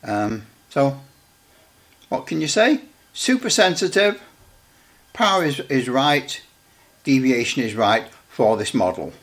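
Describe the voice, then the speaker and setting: Man thinking aloud, halting. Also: medium pitch, very animated, fairly bright tone. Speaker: radio repair hobbyist demonstrating equipment. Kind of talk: casual demonstration commentary.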